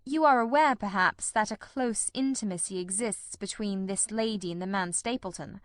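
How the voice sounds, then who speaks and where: A woman playing a calm, incisive male detective who explains his deductions in a measured, assured way in a dramatised reading. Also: medium pitch, no room sound, clean studio sound, natural voice. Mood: calm, analytical. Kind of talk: audiobook-style dramatised reading.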